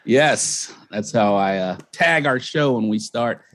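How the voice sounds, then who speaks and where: A man from Texas speaking as an interview guest, telling stories casually in a halting, folksy way. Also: mid-range voice, noisy background. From Texas, United States. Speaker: guest, barbecue team owner and show host. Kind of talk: casual interview storytelling over a live remote call.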